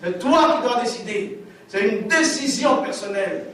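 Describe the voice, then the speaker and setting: A man's voice: extremely high pitch, expressive, moderate pace, fairly bright tone. A man giving an emphatic preached testimony, repeating lines for effect, recorded from far off in a large hall. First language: African language. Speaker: testimony speaker.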